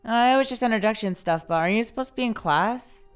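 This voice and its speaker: A woman's voice: a little high, quick pace, clean tone, faint music behind, lightly acted. A woman playing an easygoing, supportive college roommate in an audio drama. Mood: concerned. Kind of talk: amateur audio drama acting.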